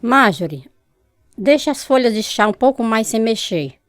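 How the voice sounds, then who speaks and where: A woman's voice: fairly high pitch, lively intonation, moderate pace, over music, lightly acted. An actress playing a confident, insistent spiritualist mother in a Brazilian radio drama, speaking in an assured, instructive way. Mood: assured, coaxing.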